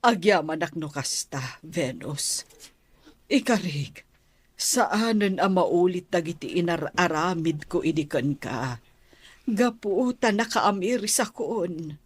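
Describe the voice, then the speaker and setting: A woman's voice: fairly low pitch, expressive, slow pace, slightly rough, dry recording, exaggerated voice. A woman playing a contrite middle-aged Filipino aunt in a radio drama. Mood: contrite, grateful. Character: contrite middle-aged aunt, softened toward her niece.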